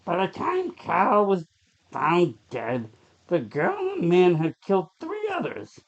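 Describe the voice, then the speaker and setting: A narrator reads a horror short story from a script, in a voice of middling pitch that sits between typical male and female ranges. The recording is a little dull and lo-fi. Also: wildly swooping pitch, slow.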